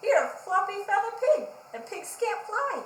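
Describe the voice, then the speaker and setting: Lady doing a teasing storybook critter, scornful and emphatic, high voice, echoey room. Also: very animated, moderate pace, fairly bright tone, a little hiss or hum, full character voice. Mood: mocking. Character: scornful parrot and mocking monkey, storybook animals. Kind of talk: children's picture book read-aloud.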